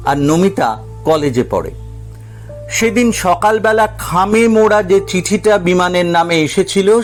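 A man reading a scripted story as a narrator, with dramatic storytelling delivery. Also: on the high side. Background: background music.

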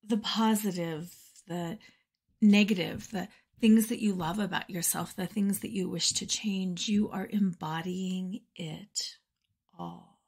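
A woman leading a guided meditation in a soft, breathy, soothing voice with measured phrasing and pauses. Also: lowish voice, fairly even intonation. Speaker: meditation guide and podcast host.

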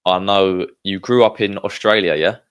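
A man hosting a casual interview, prompting his guest with friendly questions and quick affirmations. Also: deep voice, steady tone, fairly bright tone, clear, resonant tone. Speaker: podcast host.

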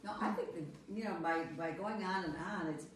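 A woman's voice: lowish voice, brisk. A woman participant in a Bible study, speaking casually in a group discussion. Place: reverberant room at a group meeting. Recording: very echoey room, distant mic, faint noise.

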